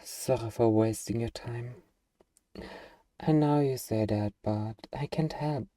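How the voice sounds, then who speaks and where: Slow, very dark-voiced man acting a young survivor. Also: mid-range voice, no room sound, distant mic, lightly acted. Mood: anxious, hesitant. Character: gruff, defensive young male zombie-apocalypse survivor, anxious underneath. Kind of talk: ASMR roleplay acting.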